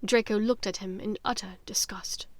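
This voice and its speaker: A woman's voice: medium pitch, steady tone, normal speed, dry recording. A woman reading scripted fiction as a dramatic audiobook narrator.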